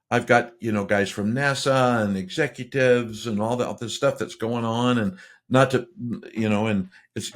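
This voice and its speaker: Male podcast host, low voice, loose and rambling, lots of "you know" and restarts. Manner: rambling, friendly, many fillers and restarts. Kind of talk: casual interview chat.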